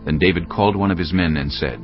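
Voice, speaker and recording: A man narrating a dramatized Bible in a measured, storytelling manner. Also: low voice, lo-fi sound, faint music behind.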